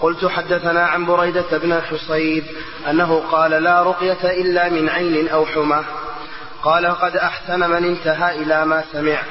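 A man reads a religious text aloud in a flat, recitational tone. His voice is a little high, and it rings through a very echoey hall. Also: a little fast, highs cut off. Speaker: student reader of the text.

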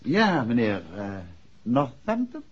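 A muffled 1960s radio recording of a courteous amateur detective. His voice is very husky and moves animatedly in pitch. He questions politely and shrewdly, in measured phrases. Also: huge pitch swings, heavily acted. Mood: polite, probing. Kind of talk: 1960s radio drama acting.

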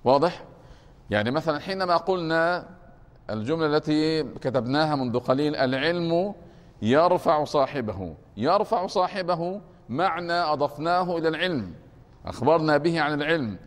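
A man teaching a grammar class, explaining slowly and repeating points, often stopping to ask whether it is clear. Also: a little high, lively intonation. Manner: deliberate, repeats points and keeps asking if it is clear. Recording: long reverb of a hall.

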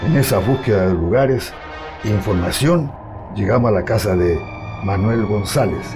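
A man's voice: lowish voice, normal speed, close to an everyday voice. An actor playing a somber Chilean survivor, narrating his memories confidingly in a radio drama. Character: somber male survivor recalling the coup to a friend. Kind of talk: dramatized first-person narration. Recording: loud music behind narration.

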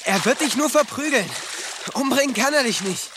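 An actor playing a dreamy, stubborn teenage boy in a radio drama, speaking softly and intently. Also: extremely high pitch, expressive, moderate pace, very noisy. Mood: stubborn, eager.

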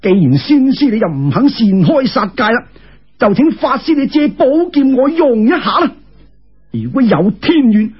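Man voicing a husky, insistent Cantonese court official. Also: extremely high pitch, muffled recording, heavily acted. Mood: insistent, pleading. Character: zealous, impetuous male imperial court official.

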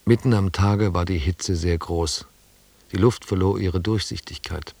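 An actor playing a reflective expedition member, narrating in a literary, descriptive style for an audio drama. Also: male voice, low-pitched, steady tone, measured pace, a little mellow, dry recording. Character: reflective male expedition member recalling the jungle.

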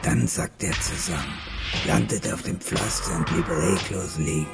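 A man's voice: deep voice, normal speed, creaky. A man delivering scripted narration for a hardboiled German radio crime drama. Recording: loud music behind narration.

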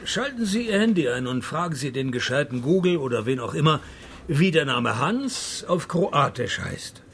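A man playing a dry, sardonic private detective in a radio play, deadpan and laconic. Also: a little high, moderate pace, slight background noise. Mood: dry, probing. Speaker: lead actor and first-person narrator of a radio play. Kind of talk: radio drama dialogue and scripted first-person narration.

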